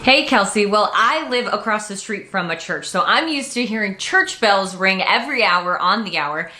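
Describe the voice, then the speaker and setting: Bright, punchy woman news reporter. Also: mid-range voice, animated, moderate pace. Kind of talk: live news report, conversational.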